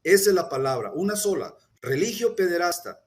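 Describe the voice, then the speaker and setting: A man speaking earnestly at a press conference, deliberate, with hesitations and restarts. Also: high voice, sing-song. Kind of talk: formal public statement.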